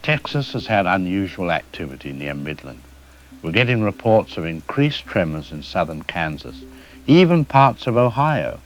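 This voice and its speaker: An actor playing a calm, middle-aged seismologist who explains things carefully and hedges his words, as a guest in a news interview drama. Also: sits low, normal speed. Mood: measured. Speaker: expert guest.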